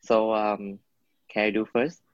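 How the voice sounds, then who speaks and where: A man's voice: lowish voice, level tone, unhurried, dry recording, highs cut off. A man in his twenties from Vietnam with a Vietnamese accent, giving hesitant interview answers while searching for words. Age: 20s. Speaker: interviewee, college student.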